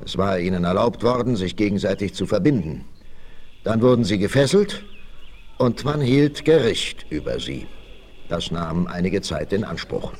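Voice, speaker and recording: A man's voice: sits low, expressive, normal speed, a little mellow. A man giving scripted dramatic narration in the manner of a 1960s radio play.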